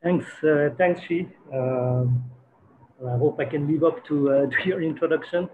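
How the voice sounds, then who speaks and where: A man's voice: fairly high pitch, measured pace. A man in his forties from Switzerland giving an online talk as a guest photographer, speaking hesitantly with many fillers and restarts over a video call. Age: 40s. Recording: tinny phone-like online call audio.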